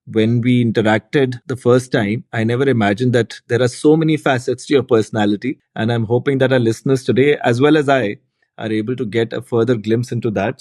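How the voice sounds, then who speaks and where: A man's voice: mid-range voice, darkish tone, no echo, clean studio sound. A man hosting a podcast, reading a formal, enthusiastic prepared introduction and then asking long questions. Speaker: podcast host. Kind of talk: scripted podcast introduction and interview.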